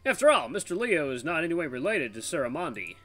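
A man's voice: on the high side, big pitch swings, fast talker, a bit bright, voice pushed up. A man voicing a hearty, folksy tiger in his fifties with a British accent, in a visual novel read-aloud. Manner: folksy, hearty, calls people lad and mate. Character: cheerful chatty tiger man in his fifties, war refugee.

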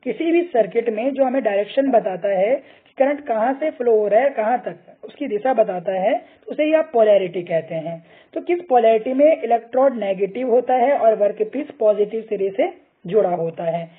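Husky male tutor, tinny sound. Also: high-pitched, expressive, moderate pace. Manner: patient, reads each option aloud, mixes Hindi with English terms. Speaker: teacher. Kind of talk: explaining quiz answers over slides.

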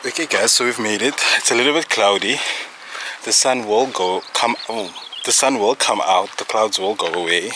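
A man's voice: on the low side, moderate pace, pushed and bright, a little hiss or hum. A man in his thirties from South Africa, vlogging casually to a handheld camera outdoors on a mountain trail, joking at his own expense and a little out of breath. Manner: chatty, jokey, self-teasing asides. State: slightly out of breath. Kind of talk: casual vlog talk to camera. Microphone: handheld camera close to face.

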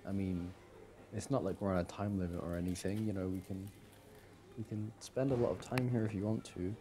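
A young man performing casual ASMR boyfriend roleplay as an easygoing, affectionate partner, talking in a hesitant, halting way. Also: deep voice, fairly even intonation. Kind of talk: ASMR boyfriend audio roleplay, casual one-sided chat. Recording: clearly audible background noise.

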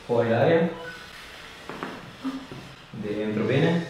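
A man's voice: mid-range voice, animated, extremely slow, darkish tone. A man in his thirties working as a chiropractor, chatting casually and giving a patient brief, repeated instructions. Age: 30s. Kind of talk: casual chat and short instructions to a patient during treatment. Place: small bare treatment room, indoors. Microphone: camera a few steps away. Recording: echoey room, distant mic, faint noise.

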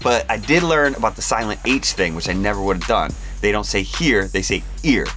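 Low-voiced man, candid and relaxed. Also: brisk, faint music behind. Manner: relaxed, candid, self-deprecating. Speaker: co-creator of the show. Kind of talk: behind-the-scenes interview.

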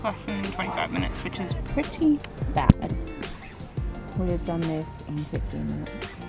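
A young woman talks casually to her vlog camera at a medium pitch. Her voice has a noticeable fry to it, and music plays behind her. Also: big pitch swings, a little slow. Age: 20s. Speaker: travel vlogger. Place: outdoors on a forest hiking trail and summit, later in a car. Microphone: handheld camera at arm's length.

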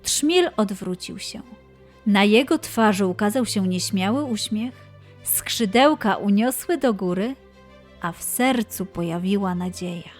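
A woman narrating a scripted children's fairy tale in an expressive, measured way. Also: medium pitch, faint music behind. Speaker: storyteller.

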